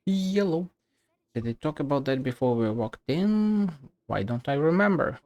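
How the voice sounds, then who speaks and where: A woman's voice: low-pitched, animated, normal speed, darkish tone, no echo. A woman streaming a game, making wry, offhand comments and reading dialogue aloud. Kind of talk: casual play-along commentary, reading game text aloud.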